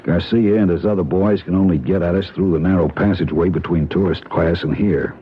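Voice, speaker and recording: A man's voice: low voice, steady tone, fairly quick, husky. An actor playing a tough male government agent in a 1970s radio drama, dry and matter-of-fact. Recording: dull, faintly hissy old radio broadcast.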